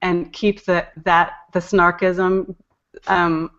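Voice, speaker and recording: A woman with a fairly low voice speaks as a guest in a casual interview. She feels her way through her thoughts, pausing and restarting. The sound is somewhat dull, like an internet call. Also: little pitch movement, fairly bright tone. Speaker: guest, poetry podcast editor and narrator.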